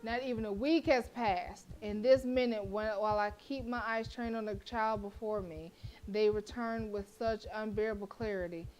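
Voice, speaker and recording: A woman streamer reads a horror visual novel aloud with a mid-pitched voice, giving the text a dramatic delivery. She keeps cutting in with profane, joking asides. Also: fairly even intonation, moderate pace, darkish tone, low-quality recording.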